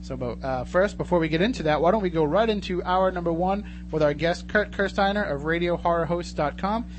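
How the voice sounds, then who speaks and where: A man's voice: high voice, fairly quick, noisy background. A man hosting a radio show in a casual, chatty and jokey way from a studio.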